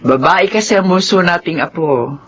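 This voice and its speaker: A man's voice: high voice, moderate pace, a bit bright, breathy edge. A man playing an earnest old grandfather giving advice in a radio drama.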